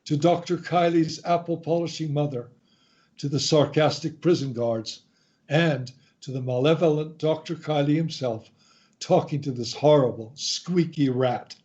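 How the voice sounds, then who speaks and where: A man hosting a radio show, reading a scripted introduction in a deliberate, announcer-like way. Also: on the high side, a little mellow. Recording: slightly dull, lo-fi sound.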